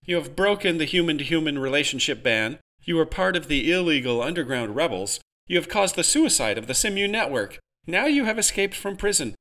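A man's voice: a little high, sing-song, a little fast, hardly any pauses, bright, forceful tone, pitched above the performer's own voice, heavily acted. A man voicing a stern bystander who accuses the heroes of breaking the law. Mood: accusing.

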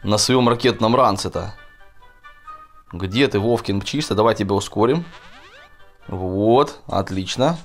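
A man's voice: lowish voice, unhurried, pauses often. A man giving casual, chatty gameplay commentary for children, often addressing his viewers directly. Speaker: gaming video host. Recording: game music behind the voice.

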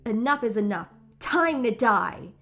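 A woman voicing a jealous, spiteful teenage supervillain in a fan comic dub, forceful and threatening. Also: on the high side, animated, unhurried, faint music behind. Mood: menacing.

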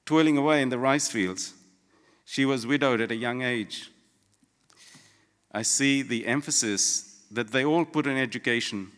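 Measured man speaking in a reverberant hall. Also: mid-range voice, pushed and bright. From Fiji. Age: middle-aged. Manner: measured, deliberate, short phrases with pauses. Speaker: graduation guest speaker, lawyer. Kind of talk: prepared formal ceremony address, read from notes. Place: large auditorium on stage. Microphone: gooseneck podium microphone.

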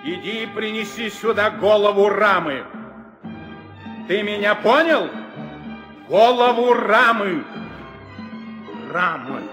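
A cruel demon king for an old radio play. The voice is high and forceful, declaiming slowly with imperious, gloating menace, in long reverb. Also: expressive. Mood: commanding. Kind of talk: theatrical 1960s audio drama acting. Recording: reverberant studio drama recording with music.

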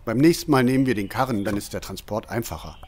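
A man's voice: fairly low pitch, fast talker, darkish tone, a little hiss or hum, natural voice. A man playing a proud, hearty woodcutter father in a fantasy audio drama, firm and matter-of-fact. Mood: proud, teasing.

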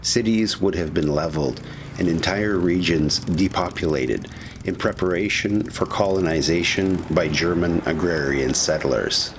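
A man with a low voice reads historical documentary narration. His delivery is measured and serious. Ambient vehicle noise runs behind him.

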